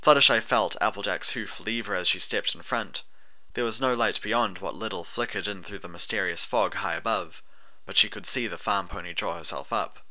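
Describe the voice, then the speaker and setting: A man's voice: lowish voice, normal speed, sharp tone. A man reading a fantasy story as a scripted audiobook narration.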